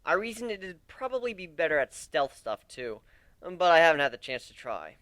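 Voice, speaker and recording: A hot-headed young griffin, acted by a man for a storybook reading. The voice is sarcastic and exclaiming, swinging widely in pitch, and the sound is dry, with no room on it. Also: big pitch swings, normal speed, fairly bright tone, no echo. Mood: brash, animated. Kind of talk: dramatic reading of fan fiction.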